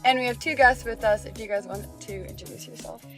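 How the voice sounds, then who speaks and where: A woman's voice: a little high, quick pace, soft background music. A woman in her late teens co-hosting a student podcast in a casual, slangy way. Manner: slangy, informal. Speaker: podcast co-host, student editor.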